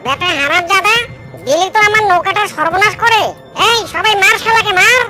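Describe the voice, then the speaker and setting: A performer voicing an excitable male villager and tradesman in a children's cartoon, with a squeaky voice. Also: fairly quick, over music. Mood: outraged. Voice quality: high-pitched, squeaky.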